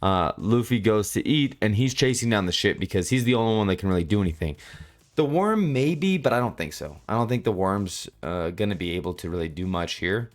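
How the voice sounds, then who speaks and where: A man giving casual, unscripted commentary as a reviewer, rambling and halting as he goes and often repeating himself. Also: lowish voice, animated. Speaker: manga chapter reviewer.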